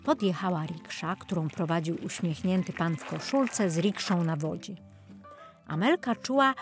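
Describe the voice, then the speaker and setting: A woman reading scripted narration for a children's audiobook as a storyteller. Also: fairly low pitch. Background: music.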